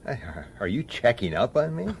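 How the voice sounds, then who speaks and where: An actor playing a gruff, irritable, middle-aged police detective in a radio drama, blunt in his delivery. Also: medium pitch, sing-song, moderate pace, exaggerated voice. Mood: guarded, irritable. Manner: blunt, hesitant, then explosive. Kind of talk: radio drama acting with first-person narration.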